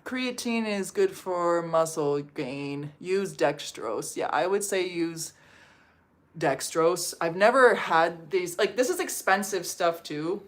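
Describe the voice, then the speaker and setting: A woman's voice: fairly low pitch, animated, takes it slowly. A woman from Canada hosting a casual livestream from home, reading and answering viewer comments in a chatty way, with lots of "like" fillers. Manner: chatty, filler-heavy with frequent "like". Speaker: livestream host. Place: home in Portugal.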